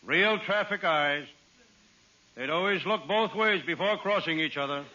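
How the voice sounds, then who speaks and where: Wisecracking radio private eye, deadpan, slightly high, on a muffled, hissing old broadcast. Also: male voice, a little slow. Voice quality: deadpan punchline delivery. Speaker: comic detective narrator. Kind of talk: 1940s radio comedy acting. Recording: dull old radio transcription with hiss.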